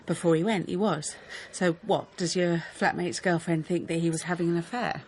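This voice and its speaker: An actress playing a blunt, determined teacher and wronged wife in a radio drama, terse and direct. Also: female voice, fairly low pitch, fairly even intonation, moderate pace, darkish tone, slight background noise, lightly acted. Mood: brooding. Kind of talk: naturalistic radio drama acting.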